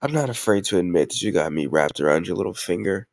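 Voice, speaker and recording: A man's voice: sits low, lively intonation, quick pace, gravelly, no room sound, studio quality. A man playing a tough gang leader who is a playful, doting boyfriend in an intimate roleplay audio, teasing and mock-grumbling affectionately. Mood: teasing, tender.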